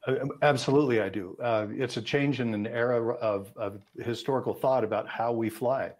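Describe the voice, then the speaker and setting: A man's voice: mid-range voice, normal speed, darkish tone. An American man in his sixties giving expert safety commentary in a news interview over a video call. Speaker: aviation safety analyst. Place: indoor room on a video call.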